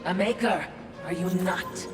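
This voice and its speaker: An actress plays a servile female spirit from a game cutscene, all formal insinuation. She takes her words slowly, and her voice echoes from a distance over clear music. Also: fairly low pitch, close to an everyday voice. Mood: insinuating. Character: Watcher, sly, servile female shadow spirit attending her mistress. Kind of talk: video game cutscene acting.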